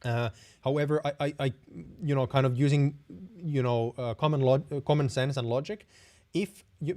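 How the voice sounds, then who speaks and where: Mellow-voiced Finnish man hosting a live Q&A. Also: mid-range voice. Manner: friendly, hesitant, rambling with many restarts. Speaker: host. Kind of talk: live stream Q&A.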